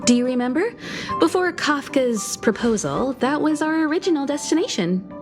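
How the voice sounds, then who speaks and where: A woman's voice: sits high, sing-song, moderate pace. An actress voicing a poised, worldly female navigator in a video game, speaking gracefully and articulately. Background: game music.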